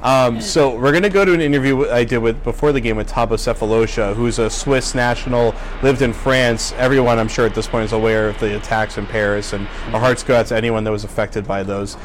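A man's voice: medium pitch, steady tone, brisk, few pauses, slight background noise. A man hosting a basketball show, talking conversationally and steering the discussion along. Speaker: sports podcast host.

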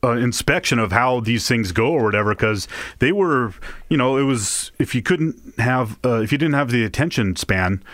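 A man's voice: medium pitch, lively intonation, normal speed, fairly bright tone, dry recording. A man co-hosting a show as a film reviewer, talking in a conversational, opinionated way. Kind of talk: casual movie chat.

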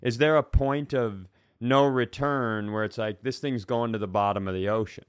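A man with a mid-pitched voice chats as a comedy podcast co-host. He riffs in a joking, mock-earnest way, and the sound is dry with no room echo. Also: fairly even intonation, moderate pace, clear, resonant tone. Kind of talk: joking casual chat.

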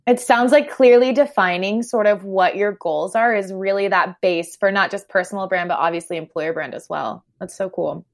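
Woman host asking questions, calm and measured, mid-pitch voice. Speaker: podcast host. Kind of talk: interview questions.

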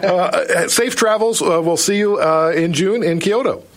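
A man's voice: high voice, a little slow. A man hosting a podcast interview, chatting in a friendly way and laughing easily. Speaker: podcast host. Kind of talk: casual interview.

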